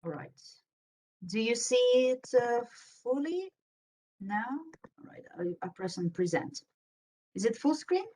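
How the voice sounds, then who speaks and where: A woman's voice: a little high, sing-song, unhurried, long pauses, mellow, poor audio. Young Russian-accented woman presenting. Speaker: panel speaker. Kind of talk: informal career presentation. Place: online video meeting with screen sharing.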